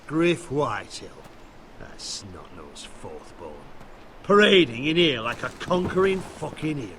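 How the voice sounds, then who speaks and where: A hard-bitten soldier in a fantasy game drama. The voice is mid-pitched, blunt and full of scorn, with faint noise in the background. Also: male voice, sing-song, unhurried, bright, forceful tone. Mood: scornful. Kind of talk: video game drama acting.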